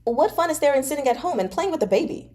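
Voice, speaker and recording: A woman's voice: high-pitched, animated, fast, a little mellow, voice pushed up. A woman reading a novel aloud as a sulky, reluctant nobleman who grumbles dismissively. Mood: sullen, irritated. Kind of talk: dramatized audiobook-style reading of a novel.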